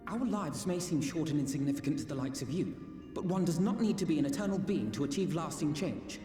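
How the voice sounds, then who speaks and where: A man plays an earnest, defiant young-sounding game hero with formal diction. His voice is fairly high for a man and very mellow. It comes from a distance in an echoing space, with music behind. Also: lively intonation, fairly quick, lightly acted. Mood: earnest defiance. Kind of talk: dramatic video game acting.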